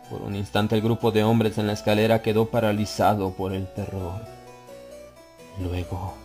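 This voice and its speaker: A man reading a horror story as an audiobook narrator, theatrical, building tension with swells in pitch and loudness. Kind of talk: dramatic scripted narration.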